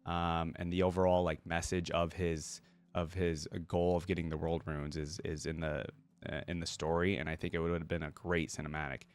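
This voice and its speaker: A man's voice: sits low, level tone, normal speed, smooth, dry recording. A man hosting a reaction video, reading stories aloud and commenting informally, with exclamations and rephrasing as he goes.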